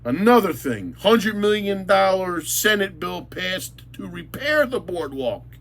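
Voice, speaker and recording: High-pitched angry New man. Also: very animated, unhurried, a bit bright. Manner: blunt, insulting, repetitive, emphatic. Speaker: local commentator. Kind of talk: angry ranting monologue.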